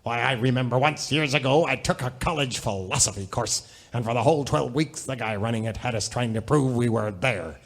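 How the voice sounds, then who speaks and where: A man plays a self-important American pitchman doctor in a comedy radio ad. He talks in a mid-range voice, with folksy salesmanship and a brusque streak. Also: fairly quick, few pauses, close to an everyday voice. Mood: folksy, pompous. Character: pompous folksy married pitchman doctor, brusque with his wife. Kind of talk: parody radio advertisement.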